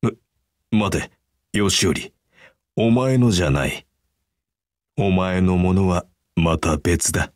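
A man voicing a calm, earnest, kindly masked hero in a mobile game, speaking in a measured, reserved, formal way. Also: low voice, no echo, studio quality. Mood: mildly scolding. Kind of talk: anime game character voice acting.

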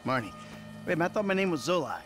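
A man's voice: a little high, sing-song, quick pace, a bit bright. An actor playing a sarcastic, exasperated man in a video game cutscene, talking like a doubtful everyman. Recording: music under the voice.

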